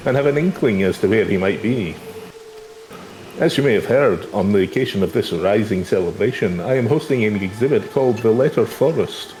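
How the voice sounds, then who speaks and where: Guy doing a gracious wandering minstrel from a game, lowish voice, archaic and proper. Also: a little mellow, a little hiss or hum, natural voice, low-quality recording. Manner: formal, archaic phrasing. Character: courtly, enigmatic male wandering minstrel, gracious and formal.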